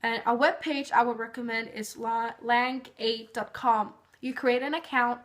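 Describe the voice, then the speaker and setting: A woman's voice: on the high side, fairly even intonation, a little slow. A young woman from Norway, a native Norwegian speaker, giving casual tips in a chatty vlog full of fillers. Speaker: vlogger.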